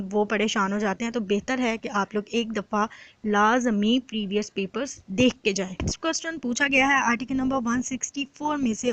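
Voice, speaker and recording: A woman with a Pakistani accent giving an explanatory exam lecture as an instructor, mixing in English terms and reading questions out slowly and deliberately. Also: on the high side, a bit bright, no echo, rough recording.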